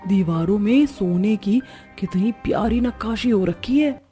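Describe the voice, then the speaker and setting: A woman's voice: fairly high pitch, dark tone. A woman voicing a snobbish, exclaiming young new bride in a cartoon story. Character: wide-eyed, snobbish young new bride, a rich daughter-in-law. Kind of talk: Hindi cartoon story voice acting.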